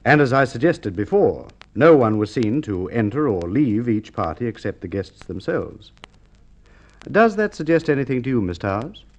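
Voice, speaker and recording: A man's voice: medium pitch, sing-song, natural voice. Shrewd police inspector played by a man, muffled old radio. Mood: methodical, insinuating. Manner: measured, deliberate questioning with hesitations. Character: shrewd, formal male police inspector, coolly probing. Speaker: radio drama actor. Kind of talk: Golden Age radio drama acting. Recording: muffled vintage radio drama recording.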